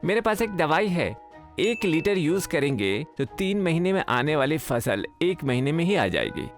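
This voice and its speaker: Comic cartoon sidekick: sly, greedy, quirky, very animated sing-song pitch, light music behind. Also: male voice, normal speed. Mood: quirky concern. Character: quirky, sly, money-minded brother with silly catchphrase. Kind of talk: animated moral comedy story voice acting. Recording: faint background music.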